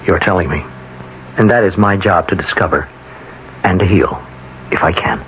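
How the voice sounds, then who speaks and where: An actor playing a mild-mannered, patient psychiatrist in a 1970s radio drama, speaking in a measured, hesitant, professional way. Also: male voice, low-pitched, fairly bright tone. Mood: probing, cautious. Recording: dull, noisy old radio recording.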